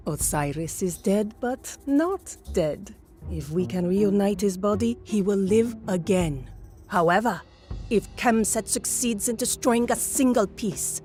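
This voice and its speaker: A woman voicing a solemn, resolute priestess of ancient Egypt in a video game, speaking gravely and with measure. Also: medium pitch, darkish tone. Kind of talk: video game cutscene acting.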